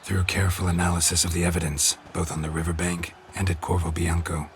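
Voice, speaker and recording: An actor playing a stoic, world-weary monster hunter in a video game, gravelly and laconic. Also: male voice, sits low, fast talker, slight background noise. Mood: matter-of-fact. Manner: laconic, deadpan, clipped sentences. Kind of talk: video game character acting.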